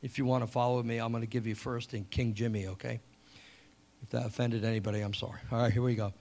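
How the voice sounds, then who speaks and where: A middle-aged man from England, preaching a sermon with animated storytelling, repeated points and slow, drawn-out scripture reading. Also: medium pitch, vocal fry.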